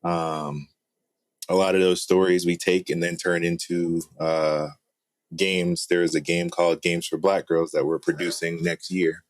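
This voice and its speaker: A man with a low-pitched voice talks casually as a show guest. He sounds conversational and informal, and the recording is dry with almost no room sound. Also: flat delivery, measured pace, no echo. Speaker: guest, game studio founder. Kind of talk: casual chat.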